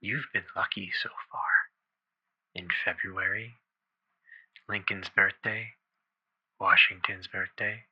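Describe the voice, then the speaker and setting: A creepy, raspy, cryptic killer. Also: male voice, low voice, fairly even intonation, no room sound, dull audio, heavily acted. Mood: eerie, cryptic. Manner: deliberate, eerie reciting, breathy. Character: creepy, cryptic male killer-informant obsessed with holidays. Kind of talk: dramatized comic book villain acting.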